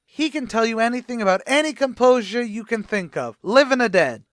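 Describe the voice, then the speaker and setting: Guy doing a cocky high-voiced bar manager, bad grammar, wisecracks nonstop, old radio comedy. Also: animated, brisk, a bit bright, no room sound, studio quality. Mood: bossy. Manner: brash ungrammatical tough-guy patter. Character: wisecracking middle-aged male tavern manager.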